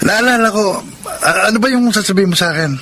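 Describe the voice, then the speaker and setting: Noisy radio drama, kind trusting husband. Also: male voice, high-pitched, fast, pushed and bright. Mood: gentle. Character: generous, trusting, easygoing young husband. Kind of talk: Filipino radio drama acting.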